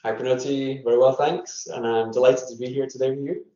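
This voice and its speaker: A man's voice: medium pitch, fairly even intonation, brisk, warm tone. A Scottish man, a scientist guest in a casual interview, telling his story thoughtfully with many fillers and restarts. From Scotland. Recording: echoey room, dull lo-fi audio.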